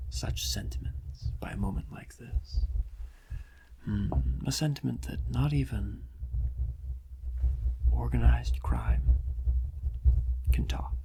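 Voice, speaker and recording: A man playing a vain, theatrical criminal mastermind who gloats and draws out his phrases in a soft-spoken ASMR roleplay, with a breathy, gravelly voice. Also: on the low side, exaggerated voice. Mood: gloating, smug. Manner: slow, drawn-out, melodramatic, savouring each phrase with long pauses.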